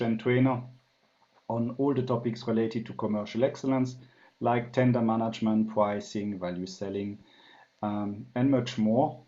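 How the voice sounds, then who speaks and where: French guy w a bit of german in the accent, dark mellow voice, explains slow w lots of ums. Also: medium pitch, dull audio. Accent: French, with a German tinge. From France. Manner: thoughtful, filler-heavy explanations. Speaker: guest consultant. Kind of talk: business podcast interview.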